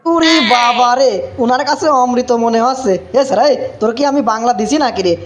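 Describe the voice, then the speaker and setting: A man's voice: extremely high pitch, a little fast. A man voicing a bossy, mocking young drinking buddy in a comic cartoon, scolding in an exasperated way with a rural Bangladeshi accent. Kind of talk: Bengali comic cartoon voice acting.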